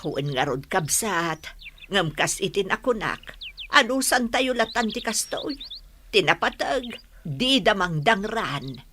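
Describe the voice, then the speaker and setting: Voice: mid-range voice, sing-song, takes it slowly, bright, forceful tone, full character voice. A performer with an Ilocano accent playing a resigned villager in an earnest radio drama conversation. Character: resigned villager talking over troubles with a kinsman. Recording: noisy recording with clicks.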